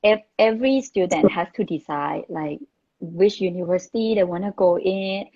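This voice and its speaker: A woman's voice: lowish voice, normal speed, lo-fi sound. A young woman from Thailand, not an English speaker, chatting casually as a guest with plenty of fillers. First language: Thai. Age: young adult. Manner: chatty, relaxed, many fillers. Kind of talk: casual chat.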